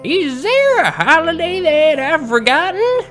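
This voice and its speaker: A man's voice: extremely high pitch, very animated, unhurried, a bit bright, soft background music, pitched above the performer's own voice, heavily acted. A man voicing a curious old female pony in a story, with a questioning manner. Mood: puzzled. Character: old mare shopper, curious and puzzled pony.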